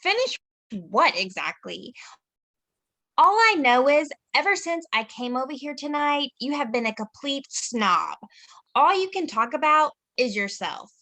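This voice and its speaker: A woman's voice: high voice, very animated, a little slow, bright, forceful tone, dry recording, heavily acted. A woman reading the part of a dismissive popular teenage girl at a table read. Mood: cold. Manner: cold and dismissive. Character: snide teenage girl, mean popular type. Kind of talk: table read of a teen drama.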